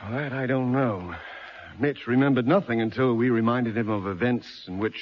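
An actor playing a measured, cautious doctor on a space crew in a vintage radio drama. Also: male voice, medium pitch, close to an everyday voice. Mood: measured, hushed. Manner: measured, careful. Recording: muffled, noisy old radio recording.

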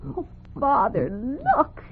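An actress playing an emotional young princess in a radio fairy tale, pleading and theatrical, with a breathless manner. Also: female voice, on the high side, very animated, slow pace, gravelly, slightly rough, exaggerated voice. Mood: reluctant, disgusted. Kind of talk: old-time radio fairy tale acting. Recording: thin, tinny old radio recording.

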